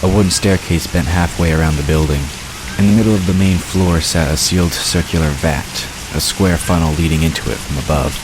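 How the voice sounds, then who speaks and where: Very deep-voiced male narrator over rainy noise. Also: fairly even intonation, a little fast. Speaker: narrator of an audio drama. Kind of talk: scripted story narration. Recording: constant rain-like hiss behind voice.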